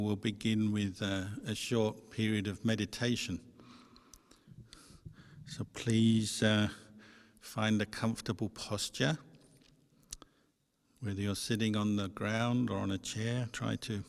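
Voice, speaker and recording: A man who is a Buddhist monk leading a guided meditation, instructing gently and in a measured way with pauses. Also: on the low side, level tone, gravelly. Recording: echoey hall with long reverb.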